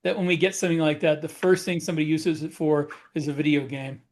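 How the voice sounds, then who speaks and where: A man's voice: fairly high pitch, level tone, fast. A man co-hosting a casual discussion, talking conversationally and feeling his way into each point. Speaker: podcast co-host and author. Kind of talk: casual panel discussion about writing.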